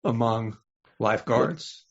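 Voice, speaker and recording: Male interviewer, medium pitch, measured and conversational, prompting a guest on a slightly lo-fi podcast recording. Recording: slightly dull, lo-fi audio.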